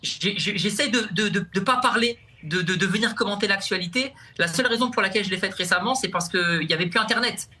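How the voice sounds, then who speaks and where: A man's voice: sits high, sharp tone. A young man, a comedian guest on a TV talk show, speaking casually with many restarts and repeated words. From France. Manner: halting, repeats words, laughs often. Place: TV studio.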